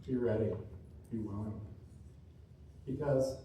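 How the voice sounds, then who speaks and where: A man delivers a motivational keynote in a very reverberant room, picked up from a distance. His voice is very soft-edged and dark in tone, at a middling pitch. He speaks earnestly and deliberately, building short phrases through repetition and emphasis. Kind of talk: inspirational keynote speech to a live room. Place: large indoor room at a seminar. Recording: distant mic, echoey room, faint noise.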